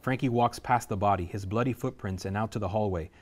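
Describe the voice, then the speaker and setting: A man reading a screenplay's stage directions aloud at a table reading, steady and vivid. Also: on the low side, fast talker.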